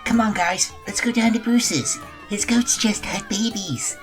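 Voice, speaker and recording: A woman playing an easygoing, supportive male friend in an audio drama, relaxed and plain-spoken. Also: mid-range voice, a little slow, soft background music, lightly acted. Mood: coaxing. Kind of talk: amateur audio drama acting.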